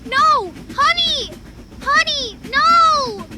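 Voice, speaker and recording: A performer playing a frantic child screaming in an old-time western radio drama, with shrill, drawn-out cries. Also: lively intonation, exaggerated voice. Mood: panicked pleading. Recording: very noisy outdoor western soundscape.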